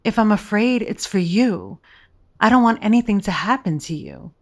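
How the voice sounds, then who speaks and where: A woman's voice: mid-range voice, animated, normal speed. A woman acting in an audio drama as a scheming lover who feigns sweet devotion, coaxing and intimate. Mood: devoted, pleading.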